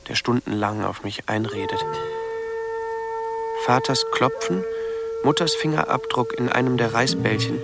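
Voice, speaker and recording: An actor playing a withdrawn young male recluse, narrating introspectively in short, halting phrases in a radio drama. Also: mid-range voice, gravelly. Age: young adult. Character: withdrawn young male recluse confessing his hidden life. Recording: music behind the voice.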